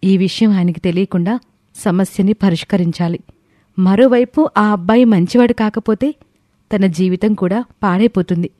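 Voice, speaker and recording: A woman playing a thoughtful, protective young wife in an audio story, speaking in an earnest, measured way. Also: mid-range voice, dark tone, dry recording, studio quality, natural voice. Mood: worried, reflective. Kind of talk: scripted audio story dialogue.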